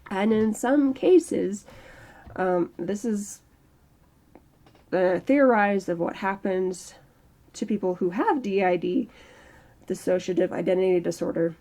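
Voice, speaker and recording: A woman in her early thirties talking slowly and reflectively in a personal vlog, with a strained throat. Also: medium pitch, mellow. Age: early 30s. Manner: slow, halting, reflective. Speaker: channel host. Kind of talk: personal mental health vlog monologue.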